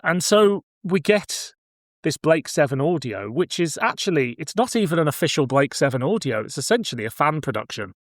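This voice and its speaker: A man's voice: on the high side, big pitch swings, normal speed, dry recording, studio quality. A middle-aged man hosting a podcast, chatting casually and giving opinions in colloquial language. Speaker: podcast host. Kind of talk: casual chat about cult science fiction.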